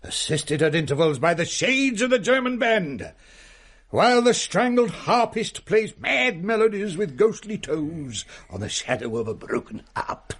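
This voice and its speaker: Theatrical English uncle, swooping voice. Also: male voice, high-pitched, very animated, a little slow, fairly bright tone, breathy edge, full character voice. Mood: ghoulish, dramatic. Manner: grandiloquent, relishing dramatic delivery. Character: jovial, theatrical Victorian uncle relishing a ghost tale. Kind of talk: melodramatic radio drama storytelling.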